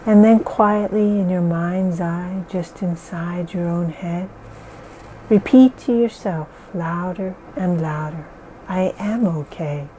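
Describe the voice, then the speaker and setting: A woman leading a guided relaxation in slow, soothing, repetitive phrases. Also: on the low side, warm tone, a little hiss or hum, poor audio. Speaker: meditation guide.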